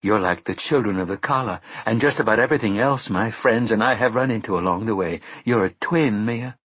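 A man's voice: fairly low pitch, fairly quick, fairly bright tone, husky, dry recording. A man voicing a tough, determined woman who questions bluntly and presses hard. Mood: pressing.

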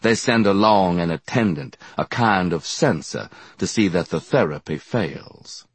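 A man's voice: deep voice, animated, measured pace, breathy edge, no room sound, heavily acted. A man voicing a short, plump, clever male patient who speaks with a wry smile. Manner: wry, smiling.

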